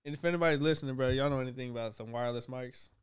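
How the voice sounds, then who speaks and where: Fast-talking young man, slangy banter. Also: mid-range voice, clear, resonant tone. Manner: laid-back slangy banter. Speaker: podcast co-host. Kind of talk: casual chat.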